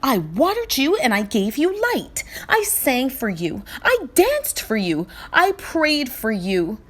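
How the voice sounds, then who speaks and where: Woman as young boy, storybook hero, earnest, pleading, high voice, very animated. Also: takes it slowly, exaggerated voice. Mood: stern, pleading. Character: young boy Sanji, earnest, dramatic, devout would-be king. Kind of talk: storybook read-aloud.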